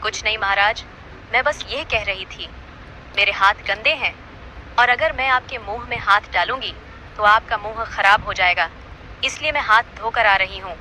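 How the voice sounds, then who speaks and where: Woman acting a timid female fox, very bright sharp voice, pleading, deferential, cartoon fable, faint noise. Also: mid-range voice, normal speed, close to an everyday voice, poor audio. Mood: evasive.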